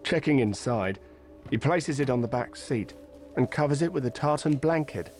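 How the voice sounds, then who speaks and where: A man reading audio description of a film in an even, neutral manner. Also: mid-range voice, normal speed, faint music behind. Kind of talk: scripted narration.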